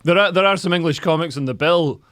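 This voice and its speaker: A man's voice: on the high side, fast, fairly bright tone, no room sound. Scottish man, comedian chatting on a podcast. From Scotland. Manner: rambling, laughs often, fillers like "like". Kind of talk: casual chat.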